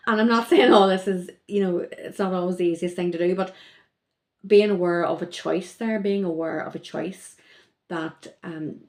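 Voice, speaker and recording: A woman's voice: fairly low pitch, normal speed, echoey room. A woman speaking as a podcast guest in casual conversation, rambling and correcting herself as she goes. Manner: rambling, self-correcting, lively.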